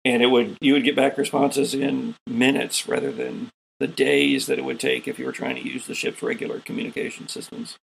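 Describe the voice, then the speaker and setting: A man running a tabletop game with a very creaky, gravelly voice. His tone is a little husky, and he explains things in a measured way, pausing mid-sentence as he improvises. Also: mid-range voice, flat delivery, a bit bright, low-quality recording. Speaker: game master and host.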